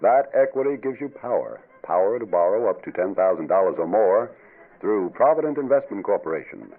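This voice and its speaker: A man's voice: fairly low pitch, lively intonation, a little fast. A man reading a scripted radio advertisement as an announcer, persuasive and emphatic. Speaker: commercial announcer. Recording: tinny band-limited radio recording.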